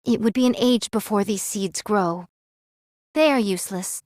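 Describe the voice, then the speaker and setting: A woman's voice: medium pitch, normal speed, a little mellow, no room sound, clean studio sound, close to an everyday voice. A woman voicing a wry android swordswoman in a game, with formal, archaic, theatrical diction. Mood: scornful. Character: archaic-speaking android swordswoman, wry, fond of food and drink. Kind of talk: anime-style game voice acting.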